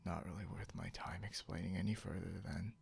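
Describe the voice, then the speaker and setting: A man playing a grumpy, teasing, possessive young beast-eared hunter in an intimate ASMR roleplay, drawling drowsily and half whispering with a breathy, gravelly voice. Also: low voice, steady tone, fairly quick, exaggerated voice. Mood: quietly threatening.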